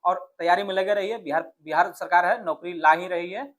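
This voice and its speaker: A man's voice: sits high, fairly quick, a bit bright. A man with a Bihari accent speaking as an exam coach, explaining informally and directly, often asking questions and answering them himself. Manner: conversational, addresses viewers directly, often asks then answers his own questions.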